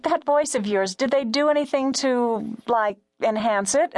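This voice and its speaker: A narrator playing a gushing female radio caller from an audiobook. Her voice sits high, and she speaks haltingly and bashfully, with eager politeness. The sound is close and dry. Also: no echo, lightly acted. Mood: eager, shy. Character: gushing, hesitant female radio call-in listener.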